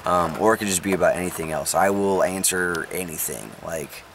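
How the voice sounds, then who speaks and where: Low-voiced young man rambling in a van. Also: fairly quick, a bit bright. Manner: rambling, lots of ums and likes. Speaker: vlogger. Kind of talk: casual talk to camera. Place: inside a parked van. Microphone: camera close up. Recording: faint rain and vehicle noise.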